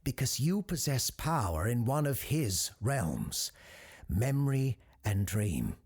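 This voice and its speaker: A man's voice: medium pitch, big pitch swings, slow, a little mellow, breathy edge, heavily acted. An actor playing an earnest, cautious male host of a paranormal radio call-in show in an audio drama. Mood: grave warning.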